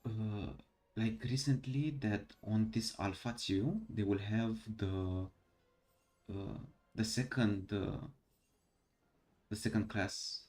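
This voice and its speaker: A tired man with a Romanian accent chats about a game late at night. His voice sits a little low, and he talks haltingly, pausing and filling gaps as he thinks. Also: fairly even intonation, a little mellow, soft background music. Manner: halting, many fillers. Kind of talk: casual gaming chat.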